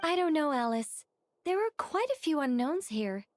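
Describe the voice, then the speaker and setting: A woman voicing a cautious, dutiful adult female angel who speaks in a measured and wry way in video game dialogue. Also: high voice, big pitch swings, a little hiss or hum. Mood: reluctant.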